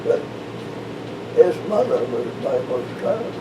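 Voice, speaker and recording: A man over one hundred years old, originally from western Missouri, talking with a visitor in a slow, halting way and searching for names. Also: medium pitch, big pitch swings, highs cut off. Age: 100s. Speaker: interviewee, retired minister. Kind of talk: casual conversation. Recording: distant mic with background noise.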